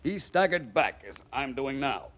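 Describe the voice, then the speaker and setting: An actor playing a suave, self-assured male detective who explains things politely and deliberately in 1940s radio drama style. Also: mid-range voice, sing-song, a bit bright. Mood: confident. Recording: muffled, noisy 1940s radio recording.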